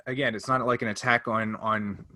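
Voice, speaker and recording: A man hosts a relaxed podcast in a bright, crisp-edged voice. He explains in a loose, hedging way, and the sound is dry, with hardly any room on it. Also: fairly low pitch, moderate pace, no room sound. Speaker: podcast host. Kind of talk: casual podcast discussion.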